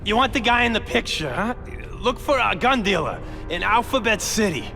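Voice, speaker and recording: Cornered crook in a game, pleading and stammering, high raspy creaky voice, big echo. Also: male voice, animated, normal speed, bright, forceful tone, heavily acted. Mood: nervous, wheedling. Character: cornered male gang boss, nervous, wheedling, shifty. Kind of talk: video game cutscene acting. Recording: long reverb with music behind.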